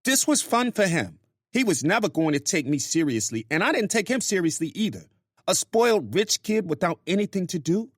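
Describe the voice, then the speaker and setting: A man voicing a young Puerto Rican woman from New York, a sharp-tongued house cleaner with a New York accent touched with Spanish, in a storybook reading. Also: sits high, very animated, a little fast, husky, no room sound, studio quality, voice pushed up, heavily acted. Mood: griping. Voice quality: attitude-laden, sharp-tongued.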